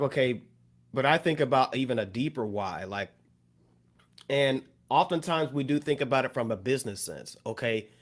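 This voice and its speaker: Male podcast co-host, mid pitch, reflective, loose delivery full of fillers. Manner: thoughtful, many fillers and restarts. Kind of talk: casual discussion.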